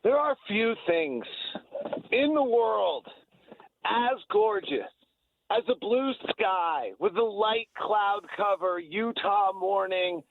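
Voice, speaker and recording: A man's voice: sits high, lively intonation, slow. An American man, a sports broadcaster guesting on radio, chatting casually in an effusive, rambling way. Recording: thin, phone-like line.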